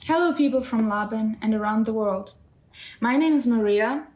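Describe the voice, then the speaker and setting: A woman's voice: a little high, normal speed, very noisy. A young woman from Ukraine, a volunteer hosting a podcast and introducing the guest. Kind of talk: podcast interview.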